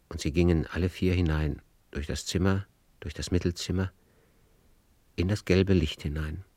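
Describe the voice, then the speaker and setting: A man narrating a literary radio drama in a measured, grave way of storytelling. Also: sits low, mellow, no echo.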